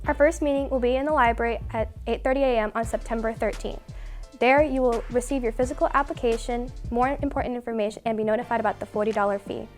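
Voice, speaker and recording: A teenage girl rattles through club details in a quick, businesslike read. Her voice is slightly high. Light music sits under her. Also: fairly even intonation. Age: teens. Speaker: student club vice president. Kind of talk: scripted announcement.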